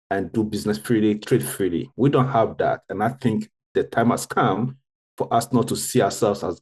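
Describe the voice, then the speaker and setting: A man talks in a roundtable discussion, earnest and full of restarts. His voice sits low, with a husky grain to it. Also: a little mellow. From Ghana. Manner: earnest, with frequent restarts and self-corrections. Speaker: guest, tech founder.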